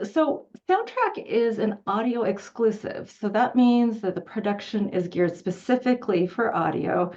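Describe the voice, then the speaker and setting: A woman's voice: mid-range voice, darkish tone. A woman moderating an author discussion in an informal, enthusiastic, conversational way, on a dull, band-limited recording. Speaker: interviewer, event moderator.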